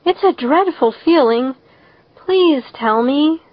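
A woman's voice: high-pitched, takes it slowly, dry recording, voice pushed up. A woman voicing an emotional, dreamy orphan girl in an audiobook, earnest and effusive, on a slightly dull recording. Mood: imploring. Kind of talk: audiobook character voice.

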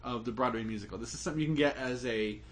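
A man co-hosting a podcast, explaining a topic at length in relaxed chat. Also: medium pitch, rapid-fire, slight background noise. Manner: explains at length, with fillers and restarts. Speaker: podcast co-host. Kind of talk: casual chat.

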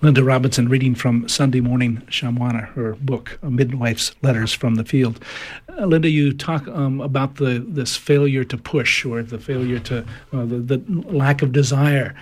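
A man's voice: medium pitch, steady tone, normal speed, darkish tone. A man hosting an interview, asking hesitant questions full of fillers. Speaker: interview host.

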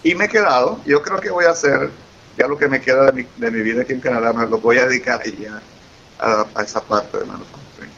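A man's voice: mid-range voice, quick pace, fairly bright tone. A man from Venezuela with a Venezuelan Spanish accent, chatting as an interview guest, effusive and eager, often repeating himself. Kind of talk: casual interview conversation. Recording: lo-fi with faint hiss.

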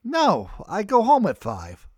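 A man's voice: sits high, wildly swooping pitch, slow pace, breathy edge, no echo, voice pushed up, full character voice. A man voicing a simple, friendly loading-dock worker with an earnest, folksy way of talking in an audiobook. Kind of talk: audiobook character dialogue.

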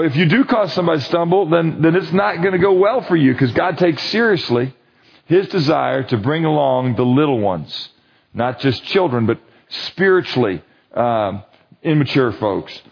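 A man's voice: on the high side, breathy edge, muffled recording. A man leading a men's Bible study, preaching in a conversational, emphatic way and often checking that listeners agree. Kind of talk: sermon.

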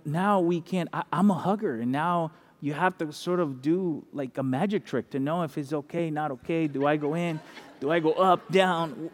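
A pastor from the Dominican Republic preaching a sermon in an animated, conversational way, repeating key phrases and adding asides. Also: male voice, sits high, long reverb tail.

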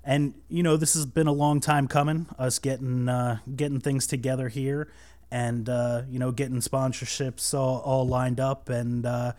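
A man's voice: medium pitch, level tone, normal speed, darkish tone. A man hosting a casual podcast, rambling with many fillers and restarts, a little nervous, on a cheap microphone. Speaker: podcast host.

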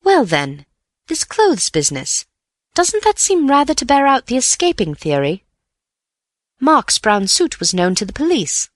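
A woman reading an audiobook voices an eager, excitable young man who is quick to spin theories. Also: high-pitched, no echo, clean studio sound, voice pushed up, exaggerated voice. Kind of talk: audiobook character voice.

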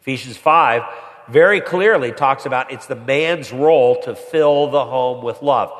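A pastor preaching a sermon with a stuffy head cold, climbing higher and louder when he presses a point. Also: unhurried. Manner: rises in pitch and volume when pressing a point. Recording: long hall reverb.

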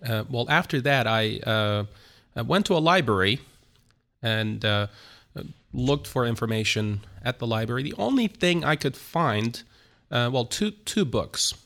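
A middle-aged man with a Turkish accent, a church planter telling his story as an interview guest, speaking haltingly with many fillers and restarts. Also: medium pitch, lively intonation, creaky, a bit bright. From Turkey.

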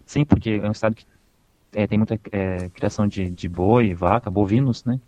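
A man's voice: fairly low pitch, normal speed, warm tone, dry recording, highs cut off. A young man from southern Brazil with a slight southern Brazilian accent, chatting casually as the interviewee and often repeating words as he thinks. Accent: slight southern Brazilian Portuguese. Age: young adult. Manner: hesitant, repeats words while searching. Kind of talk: casual conversation.

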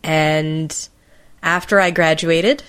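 A woman's voice: deep voice, steady tone, takes it slowly, a bit bright, smooth. A woman hosting a podcast in casual conversation, with a playful, teasing manner. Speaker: podcast host.

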